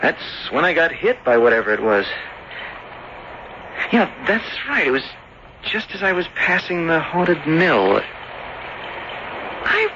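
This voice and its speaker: An actor playing an earnest, lovesick young seafarer back home, speaking haltingly in radio drama style. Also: male voice, on the high side, big pitch swings, heavily acted. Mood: hopeful. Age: young adult. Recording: dull, noisy old radio broadcast.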